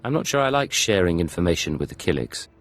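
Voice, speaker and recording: A wry male secret agent from a video game. He talks fast in a very low voice, dry, clipped and sardonic. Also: very animated.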